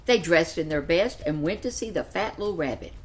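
Older woman reading a story, lively intonation. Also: lowish voice, fairly quick. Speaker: storyteller reading a children's picture book. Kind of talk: expressive read-aloud storytelling. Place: furnished living room, seated in an armchair.

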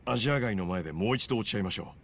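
An actor playing a polite, easygoing middle-aged former moneylender in a video game, understated and streetwise. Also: male voice, on the low side, animated, rapid-fire. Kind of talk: Japanese video game voice acting.

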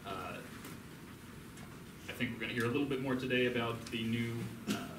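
Low-voiced man in a noisy room. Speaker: host introducing a speaker. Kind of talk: formal introduction read from notes. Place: medium-sized lecture room, indoors. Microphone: far camera. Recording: noisy, echoey room, distant mic.

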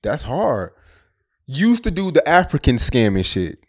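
A man's voice: medium pitch, very animated, a little slow. A man interviewing a guest, asking casual, slangy questions.

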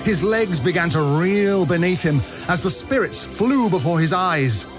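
A man's voice: sits high, animated, unhurried, over music. A man, narrating a story in a dramatic storybook style.

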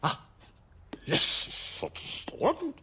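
An actor playing a bumbling, childish, excitable cartoon man who mumbles and mutters in short bursts. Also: drawn-out words. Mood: pleased, muttering. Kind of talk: animated comedy voice acting.

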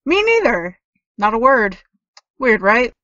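Bright, snarky teenage tech girl played by a woman. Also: a little high, big pitch swings, slow pace, no echo, lo-fi sound, full character voice. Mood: evasive, awkward. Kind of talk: audio drama dialogue.

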